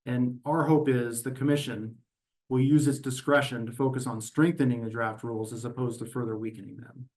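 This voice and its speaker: A man speaking as an advocacy representative, delivering prepared testimony at a regulatory hearing in a measured, methodical and formal way. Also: medium pitch, little pitch movement, a little mellow. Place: virtual meeting.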